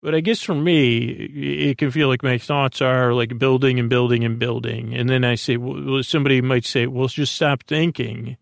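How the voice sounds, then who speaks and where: A man hosting a bedtime podcast in a creaky voice, rambling in a halting, meandering way and often trailing off mid-thought. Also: mid-range voice, fairly even intonation, no echo, clean studio sound. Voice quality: gravelly, creaky. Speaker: host of a bedtime sleep podcast. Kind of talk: rambling, soothing monologue. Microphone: close podcast microphone, dry.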